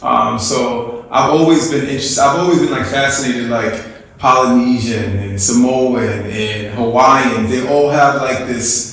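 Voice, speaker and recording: A man's voice: mid-range voice, steady tone, unhurried, fairly bright tone. A man with a mid-range voice hosts a casual interview, thinking aloud with restarts and repeated "you know what I'm saying?". He sounds heavily echoey, as if recorded some distance from the mic in a bare room. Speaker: podcast host.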